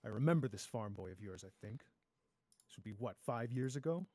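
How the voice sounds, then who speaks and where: Man as a masked pirate, cynical, sardonic, polite menace, medium pitch, fairy-tale table read. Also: lively intonation, brisk, a little mellow, no room sound, close to an everyday voice. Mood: cynical, taunting.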